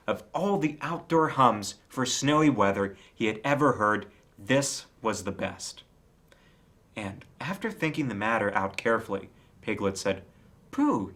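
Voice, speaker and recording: A man reading a children's story aloud as an expressive scripted narrator. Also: lowish voice. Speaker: storybook reader.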